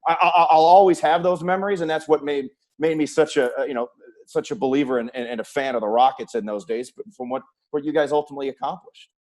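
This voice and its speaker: A man's voice: fairly high pitch, fast, a little mellow. A man hosting a casual sports interview, talking conversationally in long, winding sentences as he puts questions to his guest.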